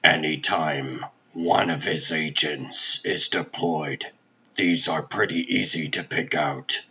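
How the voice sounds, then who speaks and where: A man's voice: rumbling low, slow pace, pushed and bright, husky, lo-fi sound, full character voice. A man voicing a matter-of-fact scientist in a comic dub, explaining findings plainly. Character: very deep-voiced, matter-of-fact male scientist. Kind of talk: fan comic dub voice acting.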